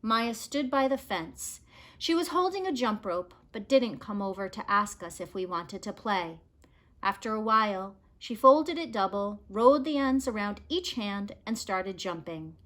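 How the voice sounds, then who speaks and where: Bright-voiced woman reading a storybook, slowly. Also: medium pitch. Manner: expressive, measured storytelling. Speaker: read-aloud storyteller. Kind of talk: scripted children's picture book narration.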